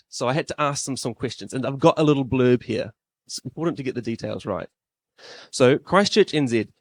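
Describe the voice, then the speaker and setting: Kiwi guy mc'ing an event, chatty and jokey, classroom room. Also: mid-range voice. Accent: New Zealand. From New Zealand. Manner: chatty, self-deprecating, with restarts. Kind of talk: live event emceeing.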